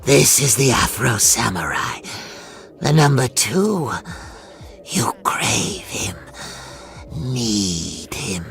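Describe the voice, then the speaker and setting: Game mystic: sinister manipulator, low gravelly drawl, ominous, over music. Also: male voice, heavily acted. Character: sinister, manipulative mystic mentor speaking of pain. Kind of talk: dramatic video game acting.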